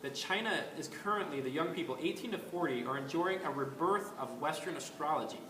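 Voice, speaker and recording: A man's voice: a little high, animated, brisk. A man hosting an astrology video, talking in a casual, rambling way with frequent ums and restarts. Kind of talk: casual spoken commentary. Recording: echoey room with faint ambient noise.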